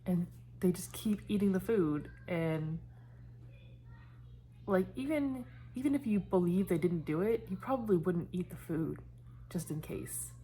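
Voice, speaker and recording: A woman's voice: lowish voice, animated, measured pace, warm tone, a little hiss or hum, rough recording. A woman in her thirties chatting casually in a book vlog, meandering and trailing off as she talks. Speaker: book vlogger. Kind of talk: casual chat.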